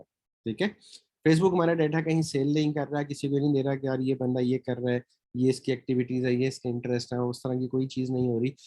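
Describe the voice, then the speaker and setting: A man's voice: medium pitch, darkish tone, no room sound. A man from Pakistan with a sore throat, giving a recorded lecture as a marketing trainer, often checking in with his listeners. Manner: explanatory, checks in with "theek hai?" often.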